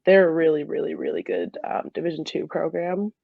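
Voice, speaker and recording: A woman's voice: sits low, sing-song, a little fast, gravelly, no echo, phone-quality sound. A young American woman from Michigan answering interview questions in a casual, chatty way as a former college soccer player, often laughing as she talks. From Michigan, USA.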